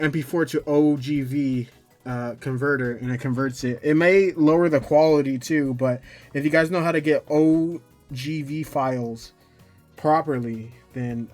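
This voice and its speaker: A man's voice: mid-range voice, darkish tone. A man hosting a casual how-to tutorial, talking in a hesitant, rambling way and often correcting himself. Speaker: tutorial host. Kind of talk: casual screen-recorded software tutorial.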